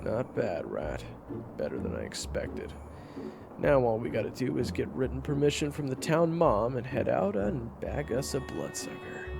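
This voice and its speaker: Man voicing a brash gruff hunter, very animated. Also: mid-range voice, normal speed, over music, voice pushed up. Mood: gruff, determined. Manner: blunt, excitable, goading. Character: gruff, brash, teasing male vampire hunter. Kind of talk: audio roleplay acting.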